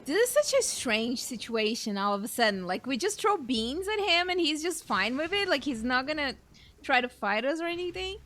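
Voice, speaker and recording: A woman's voice: high-pitched, sing-song, normal speed, a bit bright. A woman giving casual let's play commentary, reacting in an informal, giggly, exclamatory way. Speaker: gaming streamer playing a story quest.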